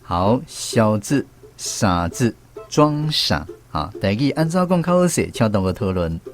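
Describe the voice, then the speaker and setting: A man's voice: on the low side, big pitch swings, unhurried, soft background music. A man with a Taiwanese accent hosting an educational language quiz on the radio. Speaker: radio host of a language segment.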